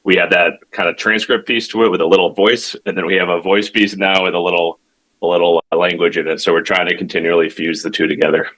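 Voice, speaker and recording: A man giving an informal product demo as a tech founder, talking in a halting, conversational way with self-corrections and frequent check-ins. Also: deep voice, flat delivery, a bit bright, rough recording. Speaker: tech company founder demonstrating his product.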